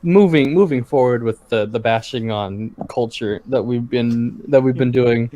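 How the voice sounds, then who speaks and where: A laid-back man in his twenties chatting on a friends' podcast. His voice sits at an ordinary middle pitch, and he keeps nudging the conversation to the next topic. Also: unhurried, dark tone. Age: 20s. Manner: laid-back, steers the talk to new topics. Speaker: podcast co-host. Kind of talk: casual chat among friends.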